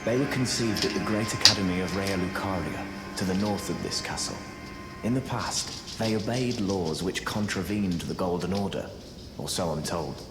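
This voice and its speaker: Low-voiced, courteous sorcerer. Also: male voice, normal speed. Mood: scholarly, intrigued. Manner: genteel, chatty, scholarly. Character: courteous, wry fugitive male sorcerer in a fantasy castle. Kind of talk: fantasy video game dialogue. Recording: roomy echo with loud game music.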